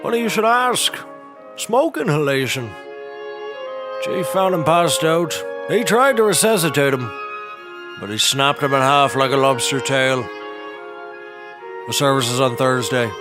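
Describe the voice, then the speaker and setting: An actor playing an eccentric, deadpan office hand in a comedy audio drama, telling things in a folksy, drawn-out way. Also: male voice, medium pitch, music in the background, close to an everyday voice.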